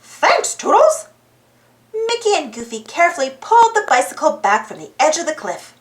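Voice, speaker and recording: A woman reading a children's storybook aloud as narrator in an animated style. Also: sits high, fast, echoey room.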